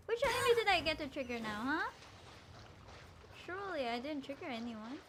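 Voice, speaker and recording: A woman casually commentating as she livestreams a game, playful, slangy and quick to react. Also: high voice, very animated, very noisy. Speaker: game streamer. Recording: game music under the voice.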